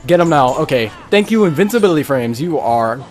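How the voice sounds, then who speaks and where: A man giving casual, halting live game commentary, breaking off to exclaim at the game. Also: on the high side, animated, darkish tone, soft background music. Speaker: gaming video creator.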